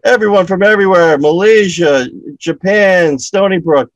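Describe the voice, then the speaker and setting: A man's voice: high voice, normal speed, lo-fi sound, noisy background. A man hosting an online comedy show, introducing comics with upbeat, loose emcee patter. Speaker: host. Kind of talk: introducing comics on a video call.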